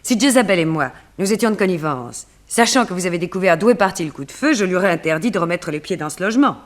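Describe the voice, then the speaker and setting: A woman's voice: on the low side, lively intonation, quick pace, natural voice. A beautiful, self-possessed woman played by an actress in a radio detective serial. She answers in composed, formal sentences and articulates with care. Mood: composed, defensive.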